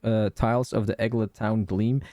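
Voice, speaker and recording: A man's voice: lowish voice, fairly even intonation, moderate pace, dark tone, no room sound, clean studio sound. A man streaming a game playthrough in a casual, informal way, commenting and reading text aloud. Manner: informal, sweary. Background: game music.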